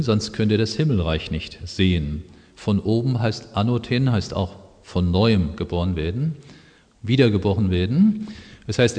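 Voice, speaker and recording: A man with a fairly low voice preaches in a big, reverberant hall. He explains scripture conversationally, as a preacher talking directly to his listeners, with long echo trailing his words. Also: a little mellow. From Germany. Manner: conversational, explanatory, pausing with fillers. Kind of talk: sermon with personal anecdotes and Bible quotations.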